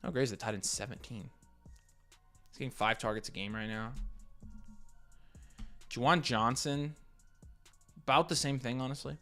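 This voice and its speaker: An American man hosting a live fantasy football Q&A, casually thinking aloud as he reads questions and gives quick picks. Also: mid-range voice, steady tone, pushed and bright, faint music behind. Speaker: fantasy football livestream host.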